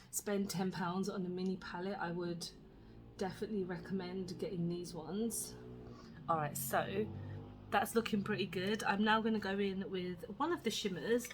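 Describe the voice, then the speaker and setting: Brief: British woman beauty vlogger, mid-pitched, chatty and rambling, voice slightly husky, recorded at home. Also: a little hiss or hum. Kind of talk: casual makeup tutorial chat.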